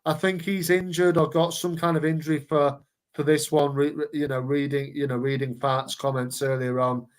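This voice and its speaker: A man's voice: a little high, level tone, moderate pace. A man co-hosting a casual football podcast, rambling along with repeated words and fillers. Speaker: podcast co-host.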